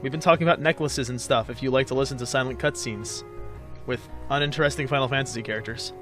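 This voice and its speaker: A man's voice: medium pitch, steady tone, fast talker. A man hosting a let's play with casual, jokey, self-deprecating gameplay commentary. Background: game music.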